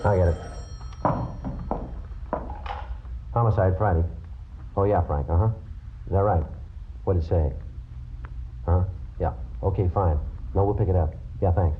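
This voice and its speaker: A man playing a terse, businesslike police detective in a radio crime drama, clipped, deadpan and matter-of-fact. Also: deep voice, moderate pace, pauses often, background noise, natural voice. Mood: flat, brisk. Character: terse, businesslike male police homicide detective, narrating his case. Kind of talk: 1950s-style radio crime drama acting and narration. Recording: dull vintage radio recording.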